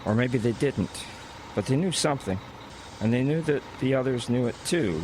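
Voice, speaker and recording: A man playing a practical, easygoing boss of delivery drivers in an audio drama, talking in a measured, matter-of-fact way. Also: medium pitch, expressive, darkish tone, natural voice, rough recording. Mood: thoughtful. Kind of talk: audio drama acting, naturalistic dialogue. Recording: clear vehicle and outdoor noise behind.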